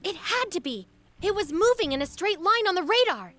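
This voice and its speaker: A woman's voice: extremely high pitch, sing-song, a little fast, fairly bright tone, faint music behind, heavily acted. A woman playing an earnest, idealistic young woman in a video game, sincere and brave. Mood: eager. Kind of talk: video game cutscene acting.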